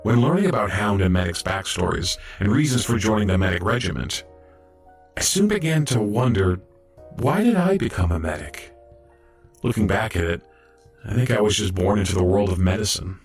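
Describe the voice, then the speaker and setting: An actor playing an earnest, modest young robot apprentice medic in an audio drama, reflective and a little hesitant. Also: male voice, fairly low pitch, lively intonation, normal speed, faint music behind. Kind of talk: audio drama acting and narration.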